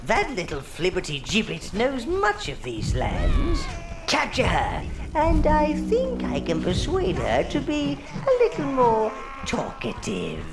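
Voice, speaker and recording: An actor playing a sly, darkly gleeful old minion advisor in a game cutscene, with a gravelly, creaky voice and a wheedling manner. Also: male voice, extremely high pitch, huge pitch swings, slow pace, heavily acted. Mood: scheming. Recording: voice over loud game music.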